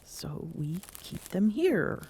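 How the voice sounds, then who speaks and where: A woman playing a smitten, imperious Amazonian queen in an intimate ASMR roleplay, speaking with hushed, deliberate, teasing formality. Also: mid-range voice, very animated, a little hiss or hum. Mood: defensive.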